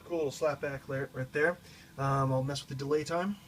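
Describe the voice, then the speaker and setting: A man giving a casual, explanatory demonstration of a guitar effects pedal in a halting way, as a teacher would. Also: mid-range voice, fairly even intonation. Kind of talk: casual product demo.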